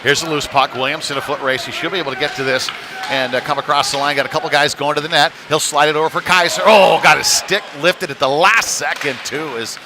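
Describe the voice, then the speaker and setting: Male hockey commentator over clear rink noise. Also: a little high, fast talker. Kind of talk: live sports commentary. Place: indoor ice rink during a live stream. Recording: noisy rink ambience behind the voice.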